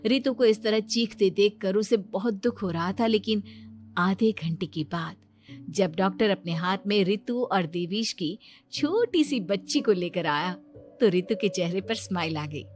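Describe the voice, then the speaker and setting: A woman's voice: mid-range voice, unhurried, a little mellow. Woman narrating a story over music. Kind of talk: scripted storytelling narration for animated moral story.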